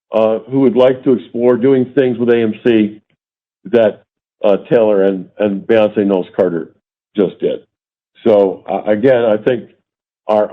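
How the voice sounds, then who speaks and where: A man speaking as a company executive on an earnings call, deliberate and emphatic, stretching key words. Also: medium pitch. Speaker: company executive answering analysts. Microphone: phone or conference line. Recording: thin phone-line conference call.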